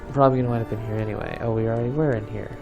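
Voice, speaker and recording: A man's voice: fairly low pitch, steady tone, very fast, creaky, dull audio. A man giving casual, sarcastic and grumbling commentary as he plays a video game. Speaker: video game let's player. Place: voice-over over gameplay, off camera. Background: game music.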